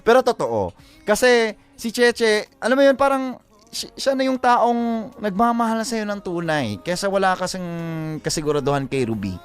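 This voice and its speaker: A man's voice: sits high, lively intonation, moderate pace, clear, resonant tone. A Filipino man co-hosting a live show, chatting casually and giving earnest love advice, repeating phrases for emphasis. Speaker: radio show co-host giving advice to letter senders.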